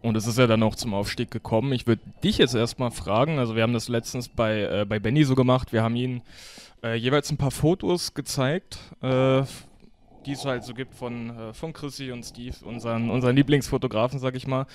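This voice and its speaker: A man's voice: mid-range voice, fairly even intonation, a little fast. A man hosting a podcast, interviewing in a casual, informal, conversational way. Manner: informal, colloquial German. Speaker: podcast host.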